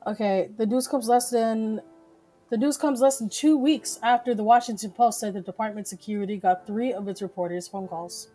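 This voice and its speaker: A just-woken woman hosting a live news stream from home, reading articles haltingly, stumbling and swearing as she comments. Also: fairly high pitch, mellow, faint music behind. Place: home, relatives talking downstairs.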